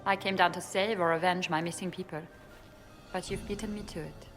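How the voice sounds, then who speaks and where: A woman's voice: on the low side, steady tone, brisk, a bit bright, soft background music, close to an everyday voice. A woman playing a shrewd elven ambassador and spymaster in a fantasy video game, speaking in a wry, knowing, persuasive way. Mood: wry, probing. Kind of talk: dramatic video game dialogue.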